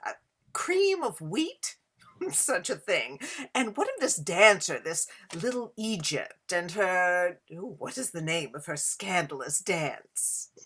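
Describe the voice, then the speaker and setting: A woman's voice: lowish voice, very animated, slow, fairly bright tone, breathy edge, full character voice. A woman playing a flirtatious Gilded Age society hostess in a period play reading, speaking in an affected, coy and theatrical way. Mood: flirtatious, teasing. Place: indoors, remote online reading.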